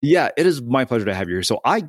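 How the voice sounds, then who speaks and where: A man's voice: medium pitch, big pitch swings, quick pace, no echo, studio quality. A man hosting an interview podcast, introducing and questioning in a conversational style. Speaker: podcast host.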